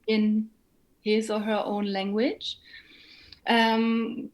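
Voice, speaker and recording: A woman with a German accent speaks as a guest in a thoughtful interview. Her voice sits slightly high and moves slowly. She is reflective, pausing to search carefully for the right words. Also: little pitch movement, rough recording. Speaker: interview guest, singer and writer.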